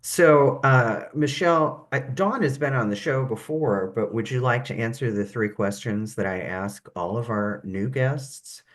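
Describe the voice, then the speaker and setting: A woman hosts a conversational podcast with a voice deep enough to be ambiguous. She chats casually, playful and a little self-deprecating. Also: a little slow, few pauses. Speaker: podcast host. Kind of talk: casual chat.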